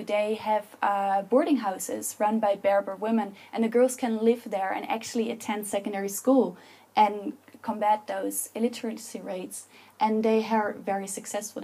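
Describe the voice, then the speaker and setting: A young woman with a Dutch accent speaks earnestly to an audience and makes her case. Her voice is mellow and a little husky. She pauses on fillers and restarts as she goes. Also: medium pitch. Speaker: speaker giving a talk. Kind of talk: public talk to an audience.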